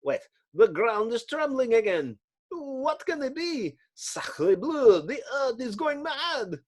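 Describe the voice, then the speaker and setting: A man's voice: very high voice, very animated, takes it slowly, pitched above the performer's own voice, full character voice. A man voicing an alarmed French soldier in a comic book story, exclaiming melodramatically. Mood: panicked. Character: panicked French male soldiers in the desert.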